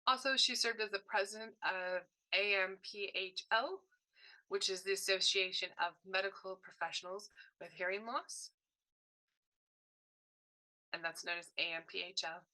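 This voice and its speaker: A woman's voice: mid-range voice, steady tone, moderate pace, bright, forceful tone, poor audio. A woman voicing a deaf presenter's signed workshop talk in short, broken phrases, often correcting herself mid-sentence. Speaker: sign language voice interpreter.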